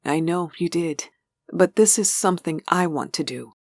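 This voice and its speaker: A woman playing a determined, caring wife with a husky voice and earnest, thoughtful manner in a comic dub. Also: on the low side, normal speed, a little mellow, no room sound. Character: determined, caring wife doing some investigating. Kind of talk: dramatic comic dub acting.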